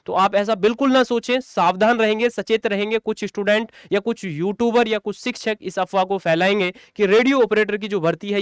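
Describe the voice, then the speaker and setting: A man's voice: sits high, clear, resonant tone, dry recording, studio quality. A man speaking as a coaching teacher, explaining an update to students in an emphatic, repetitive, direct way.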